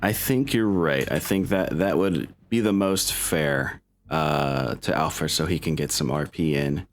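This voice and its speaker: A man's voice: extremely low pitch, a little slow. A man speaking informally and with swearing as the game master of a tabletop role-playing session. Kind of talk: casual tabletop game narration.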